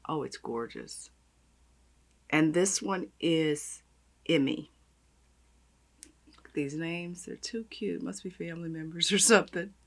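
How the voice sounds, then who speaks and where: Raspy chatty woman gushing over makeup. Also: low-pitched, a little slow, pauses often. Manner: gushing, chatty, talks while applying makeup. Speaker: beauty vlogger. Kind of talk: makeup tutorial chat.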